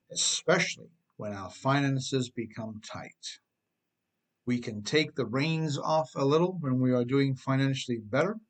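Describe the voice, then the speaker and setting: A man narrating his own self-help book in a wry, conversational way, often putting rhetorical questions straight to the listener. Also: medium pitch. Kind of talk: audiobook narration with conversational asides.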